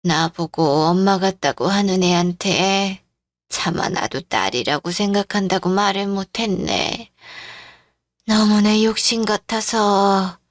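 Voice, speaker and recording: Woman voicing a frail, confused old mother-in-law, slow-spoken. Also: mid-range voice, no echo, studio quality, close to an everyday voice. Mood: tender, dreamy. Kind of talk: Korean radio-drama style story.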